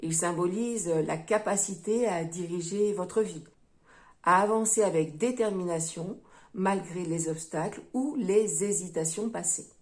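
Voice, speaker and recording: A woman giving a tarot reading to her viewers, speaking gently and unhurriedly, often pausing with hesitations and calling them her friends. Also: fairly low pitch. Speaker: tarot reader.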